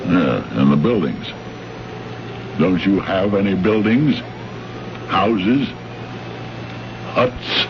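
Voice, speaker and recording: Brusque, low-voiced space negotiator. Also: male voice, lively intonation, extremely slow, pauses often, full character voice. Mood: impatient. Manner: blunt, hesitant interjections, sardonic. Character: brusque, impatient, dismissive male space trade negotiator. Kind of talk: vintage radio drama acting. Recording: noisy, dull old radio broadcast recording.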